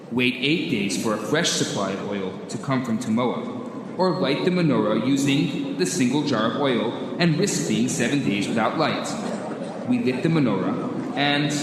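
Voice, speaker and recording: A man's voice: mid-range voice, lightly acted. Man playing a dignified rebel leader, echoing hall. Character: dignified victorious rebel leader at press conference. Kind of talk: radio drama speech. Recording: echoey hall, noisy background.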